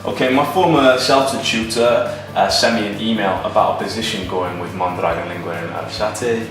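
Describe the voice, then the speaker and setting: A Welsh man with a lowish voice talks in a relaxed way and fills his gaps with uhs. He sits away from the mic in a very echoey room, with music playing under him. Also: fairly quick, distant mic. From Cardiff, Wales. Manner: relaxed, hesitant with many uhs. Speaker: English teacher. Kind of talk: recruitment video interview.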